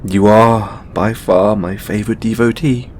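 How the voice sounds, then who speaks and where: A man playing an affectionate, stressed god and boyfriend in an intimate audio roleplay, speaking in a halting, softly playful way. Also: on the low side, steady tone, slight background noise, close to an everyday voice. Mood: tender, affectionate.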